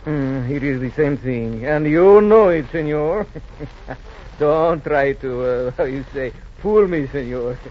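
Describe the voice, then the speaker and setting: An actor playing a warm, shrewd Spanish-Californian rancho owner in 1940s radio style, speaking expressive, courtly, halting English with a Spanish accent. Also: male voice, on the high side. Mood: sly, amused. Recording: dull, hissy old radio recording.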